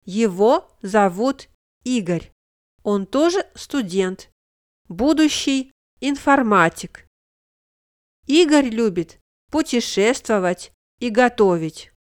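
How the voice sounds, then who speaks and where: A woman reading the part of a young female Russian student who introduces herself slowly and carefully. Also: fairly high pitch, lively intonation, clear, resonant tone, no room sound, clean studio sound. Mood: friendly. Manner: slow, careful textbook reading.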